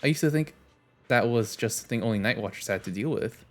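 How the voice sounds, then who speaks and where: A carefree, mischievous young woman, read out by a man in his own low voice. The reading is playful and easygoing, with faint game music behind. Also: a little fast, lightly acted.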